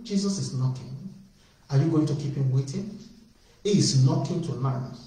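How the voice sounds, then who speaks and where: A man's voice: fairly high pitch, warm tone. A man preaching an evangelistic sermon, calling out with fervent, repetitive appeals that build in emphasis. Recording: very echoey, distant from the mic.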